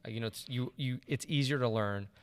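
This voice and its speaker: Fast-talking man hosting a chat. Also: medium pitch, clear, resonant tone. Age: late 30s. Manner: rambling, frequent "right?" check-ins and restarts. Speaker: podcast host. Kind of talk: casual conversational interview.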